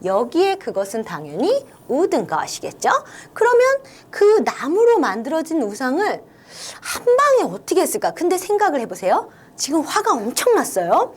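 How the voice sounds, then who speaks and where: Lively Korean woman teaching English. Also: high voice, normal speed. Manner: lively, coaxing, talks to students directly. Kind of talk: online English reading lesson for kids.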